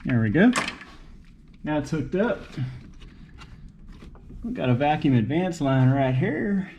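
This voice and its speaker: A man's voice: a little high, big pitch swings, slow, dull audio. Man muttering through work, noisy background. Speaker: home mechanic video host. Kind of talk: casual think-aloud commentary while working on a car. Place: working at a car, single uncut recording.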